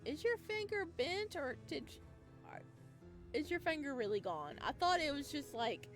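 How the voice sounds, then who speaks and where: A woman's voice: high-pitched, normal speed. Woman playing a spooky visual novel, reads then freaks out, voice jumps all over, faint music. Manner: animated, shocked reactions. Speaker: game streamer reading a visual novel. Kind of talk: reading narration and reacting.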